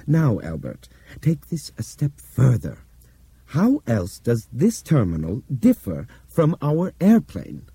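A man voicing a shrewd explainer in an audiobook, speaking with deliberate, theatrical emphasis and authority. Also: mid-range voice, wildly swooping pitch, creaky, dark tone, slightly rough, no echo, pitched above the performer's own voice, full character voice. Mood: expounding.

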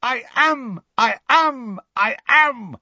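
A man voicing a desperate, furious young wizard boy in an audiobook, shouting urgently. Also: very high-pitched, expressive, very slow, dry recording, dull audio, voice pushed up, full character voice. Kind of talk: audiobook character voice.

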